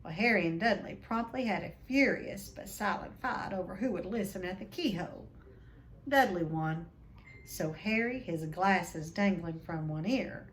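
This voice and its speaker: A woman reading a children's novel aloud as an expressive storyteller. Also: lowish voice, a little hiss or hum, rough recording.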